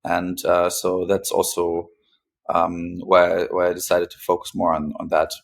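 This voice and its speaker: Low monotone guy, podcast guest talking haltingly, pauses a lot. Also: creaky. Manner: hesitant, pausing often between phrases. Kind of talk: interview conversation, recounting own experience.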